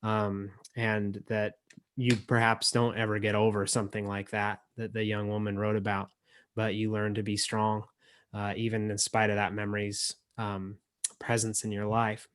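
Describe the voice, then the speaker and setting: A man with a low voice and very little swing in pitch hosts a poetry reading on a video call. He speaks haltingly and reflectively, as an emcee introducing readers. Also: completely flat delivery, fairly bright tone, clean tone. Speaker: emcee and editor.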